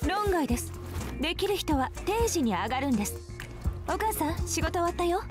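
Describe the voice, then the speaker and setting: A woman voicing a dutiful young policewoman in a Japanese anime game, with a crisp, formal manner. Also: very high-pitched, very animated, rapid-fire. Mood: breezy. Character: brisk, dutiful young policewoman who turns flustered about family. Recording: game trailer audio with music.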